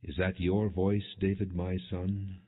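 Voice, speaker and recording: A man's voice: low-pitched, level tone, slow pace, husky, full character voice. A man voicing a humbled, fatherly older king, solemn and emotional, in a scripture audiobook. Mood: humbled remorse. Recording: muffled, phone-like narrow band.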